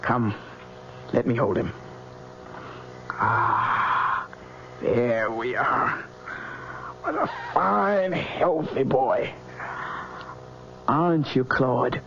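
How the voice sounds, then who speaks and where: An actor playing an eerie, possessive magician in an old radio drama, crooning in a drawn-out way over a child. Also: male voice, medium pitch, expressive, creaky, noisy background, exaggerated voice. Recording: muffled old radio recording, loud music behind.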